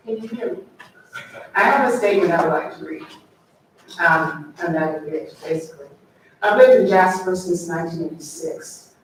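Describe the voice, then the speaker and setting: Soft-voiced older woman, echoey room. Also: mid-range voice, a little hiss or hum. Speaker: school board representative addressing a legislative delegation. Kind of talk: reading a prepared public statement. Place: large public meeting room. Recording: echoey meeting room from far off.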